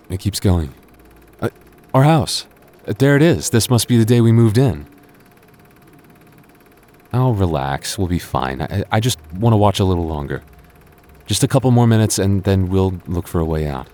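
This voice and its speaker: A man playing a devoted, earnest young boyfriend in an intimate audio roleplay, speaking haltingly with stammers. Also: sits low, animated, warm tone. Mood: affectionate, wistful. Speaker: voice actor.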